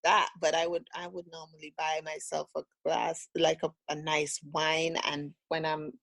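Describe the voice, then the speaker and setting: Female caller, Caribbean accent, low gravelly voice, halting and earnest. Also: flat delivery, fairly bright tone. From the Caribbean islands. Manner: halting and earnest, with many restarts. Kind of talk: casual call-in conversation.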